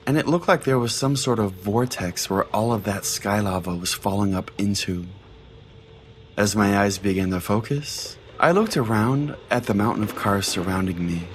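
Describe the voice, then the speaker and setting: A man's voice: lowish voice, slight background noise. A man narrating a scripted first-person comic story as a podcast host, in a dramatic, wry manner.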